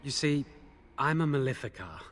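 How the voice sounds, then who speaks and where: A man's voice: mid-range voice, animated, moderate pace, fairly bright tone, natural voice. An actor playing an anxious, guilt-ridden young male mage in a fantasy video game, speaking in an earnest, defensive, pleading way. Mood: remorseful, explaining.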